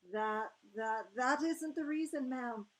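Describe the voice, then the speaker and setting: A woman's voice: mid-range voice, lively intonation, unhurried, voice pushed up. A woman reading aloud and voicing an older palace maid who speaks in a deferential, quivering way. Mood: anxious.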